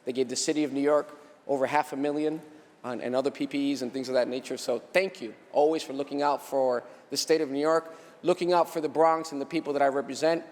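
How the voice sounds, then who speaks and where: A man's voice: mid-range voice, steady tone, darkish tone. Guy senator doing a floor speech, stops and repeats himself, big echoey room. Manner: halting, repeats phrases. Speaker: state senator. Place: large indoor legislative chamber. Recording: echoey hall with long reverb.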